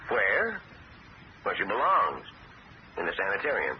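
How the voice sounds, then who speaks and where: A sly, wheedling uncle. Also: male voice, huge pitch swings, measured pace. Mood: scheming. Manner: smooth and wheedling, with sudden rises in pitch. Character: sly, self-pitying uncle squandering his niece's money. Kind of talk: 1970s radio drama acting. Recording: muffled old radio broadcast with hiss.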